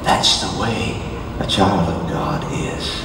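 An older man preaching a sermon, dropping to hushed low lines and rising to shouted high peaks as he moves around a large stage. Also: expressive, takes it slowly, background noise. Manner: moves about the stage gesturing while preaching. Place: large dark auditorium stage. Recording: echoey hall from far off.

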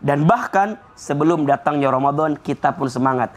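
A man in his thirties gives a televised sermon in a studio, speaking into a lapel mic. His voice sits a little high, and his delivery is energetic and emphatic as he lays out his points one by one. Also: moderate pace. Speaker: Islamic preacher on a TV show. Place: TV studio set.

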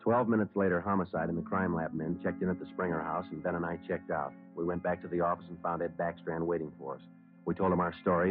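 An actor playing a terse, businesslike police sergeant in a 1950s radio drama, clipped, deadpan and matter-of-fact. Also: male voice, deep voice, close to an everyday voice. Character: terse, businesslike male police detective sergeant who narrates. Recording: muffled old radio recording with music.

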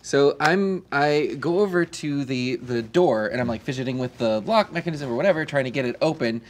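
A man playing a tabletop game, describing his character's actions in a rapid, excited way full of "like". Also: medium pitch, a little hiss or hum. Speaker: player. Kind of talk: tabletop roleplay.

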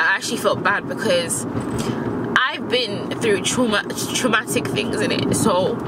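Young female vlogger, medium pitch, slangy and rambling, speaking in a car with heavy background noise. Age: young adult. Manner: slangy, rambling, emphatic repetition. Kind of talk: candid casual talk to camera. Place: inside a car, in the driver's seat. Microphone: camera mounted near the dashboard. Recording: loud car and outdoor noise.

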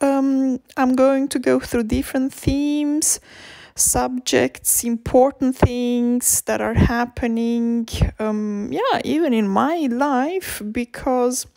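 A woman from Romania whose first language is Romanian, hosting a language podcast and talking slowly and hesitantly, with many ums and restarts. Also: on the high side, gravelly, darkish tone, dry recording. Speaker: podcast host and language teacher. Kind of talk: slow, informal podcast talk.

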